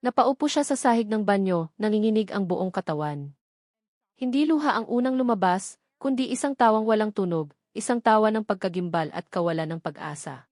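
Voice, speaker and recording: A woman's voice: medium pitch, lively intonation, brisk, a little mellow, no echo. Filipino woman narrating a dramatic story, dry sound. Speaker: audiobook narrator.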